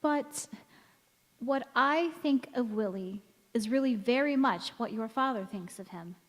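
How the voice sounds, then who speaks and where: Woman acting a high, slow, wistful gentle mother. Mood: gentle concern. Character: wistful, gentle mother returned as young visitor. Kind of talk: old-time radio drama acting. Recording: echoey church hall stage.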